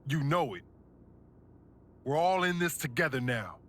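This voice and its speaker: A man's voice: fairly high pitch, lively intonation, unhurried. A man playing a defiant, proud pro wrestler in video game cutscenes, slangy and emphatic as he rallies his side. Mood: confident. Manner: slangy, emphatic motivational delivery.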